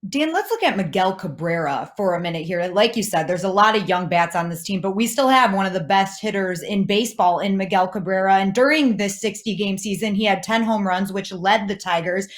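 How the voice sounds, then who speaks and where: A woman's voice: mid-range voice, a little fast, few pauses. A woman hosting a sports interview, putting questions to her guest in a conversational way.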